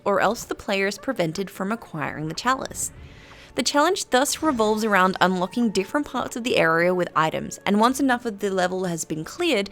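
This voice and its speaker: A woman with a British accent reads a scripted video game review in an articulate, measured, critical manner. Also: medium pitch, fairly bright tone. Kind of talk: scripted narration. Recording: faint background noise.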